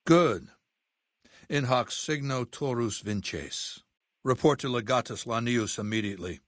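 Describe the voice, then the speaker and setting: A man playing a ruthless, commanding warlord dictator in a video game, menacing and condescending, speaking deliberately. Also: mid-range voice, fairly bright tone, dry recording, studio quality, natural voice. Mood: imperious. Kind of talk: video game character voice acting.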